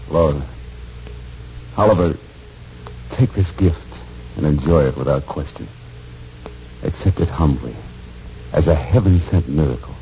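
Deep-voiced kindly major. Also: male voice, animated, a little slow, long pauses, warm tone, heavily acted. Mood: solemn, gentle. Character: courteous, kindly military major, a family friend. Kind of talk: 1940s radio drama acting. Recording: dull old radio recording with faint noise.

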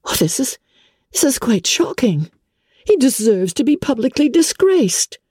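A woman voicing an indignant, easily shocked young gentlewoman in a dramatic storybook reading, earnest and exclamatory. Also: high voice, very animated, measured pace, slightly rough, no echo, studio quality, pitched above the performer's own voice, heavily acted.

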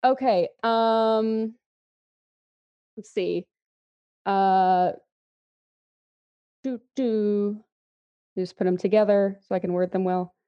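A young adult woman from Texas, chatting as an interview guest, lively and quick to laugh. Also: medium pitch, clean tone, lo-fi sound. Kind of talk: casual interview chat.

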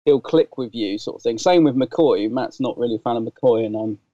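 A man co-hosting a podcast, chatting casually and rambling through stories, his throat a bit dry and croaky. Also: medium pitch, moderate pace, warm tone, no echo. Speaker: podcast co-host. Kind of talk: casual chat. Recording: dull lo-fi sound.

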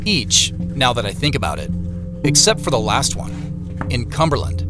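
A man acting as a brooding, suspicious investigator, narrating an audio drama in the first person in a measured, introspective way. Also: medium pitch, big pitch swings, over loud music, lightly acted.

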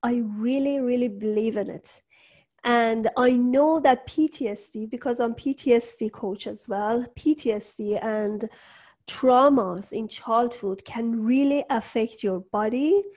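A woman hosting a conversational interview, speaking English with halting, non-native phrasing. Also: fairly high pitch, darkish tone. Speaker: interview host, coach.

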